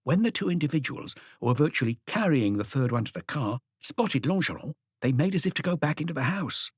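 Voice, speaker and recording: A man voicing an excitable, eager police inspector who reports deferentially to his boss in an audiobook. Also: fairly high pitch, brisk, slightly rough, no room sound, studio quality. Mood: eager, reporting.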